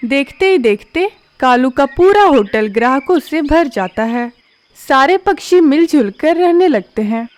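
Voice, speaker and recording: A woman narrating a cartoon story in a steady, clear storytelling manner. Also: sits high, a little slow.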